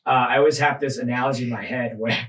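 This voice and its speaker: A man from Thailand who grew up in New York, hosting a podcast interview in a relaxed, chatty way with frequent affirming murmurs. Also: medium pitch, quick pace, low-quality recording. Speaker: podcast host, physical therapist.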